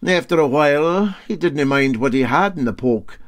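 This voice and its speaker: A man's voice: fairly high pitch, lively intonation, moderate pace, pitched above the performer's own voice, lightly acted. A man voicing a wily, unruffled Scottish sea captain in a storybook, with a drawling, coolly sly manner.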